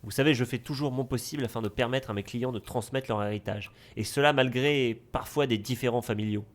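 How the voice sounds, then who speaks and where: A man's voice: medium pitch, brisk, natural voice. Man acting a formal, hesitant notary. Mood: formal, courteous. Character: polite, evasive, flustered male notary. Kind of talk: French audio drama acting.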